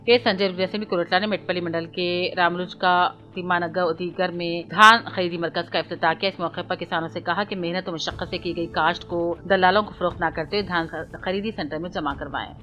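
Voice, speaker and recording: A woman's voice: on the low side, fairly even intonation, a little fast, hardly any pauses, sharp tone, muffled recording. A woman news reader delivering a scripted bulletin in a formal manner, reading item after item. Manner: formal, reading out items one after another. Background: background music.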